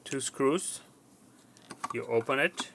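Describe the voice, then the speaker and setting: A man's voice: medium pitch, slow pace, vocal fry, noisy background. A man presenting a casual, unscripted how-to demonstration, talking informally and conversationally and repeating words for emphasis. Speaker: presenter of a tech repair tutorial.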